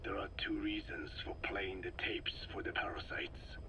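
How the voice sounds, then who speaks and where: An actor playing a frail, soft-spoken scientist in a video game, explaining slowly and formally in a breathy rasp. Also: male voice, lowish voice, wildly swooping pitch, in a whisper, full character voice. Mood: patient, scholarly. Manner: slow, formal, measured, scholarly phrasing. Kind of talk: video game voice acting, recorded interrogation.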